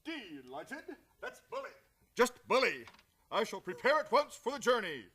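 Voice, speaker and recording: A man's voice: very animated, normal speed, very noisy. An actor playing a cheerful, deluded man who thinks he is a president and colonel, barking military commands in old-time radio comedy style. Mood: hearty, pompous. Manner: booming military commands, hearty exclamations.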